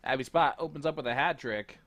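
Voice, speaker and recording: A man's voice: on the high side, fast talker. Chuckling male darts commentator, noisy line. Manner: relaxed, chuckling between calls. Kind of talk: play-by-play commentary. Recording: noticeable background noise.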